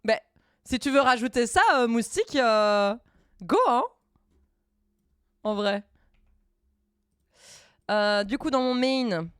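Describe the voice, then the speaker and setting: A woman streamer chatting casually while she codes live, thinking aloud in halting bits and dropping in English coding terms. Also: fairly high pitch, a bit bright, clean tone, no room sound, studio quality. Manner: thinks aloud, halting, many fillers and restarts.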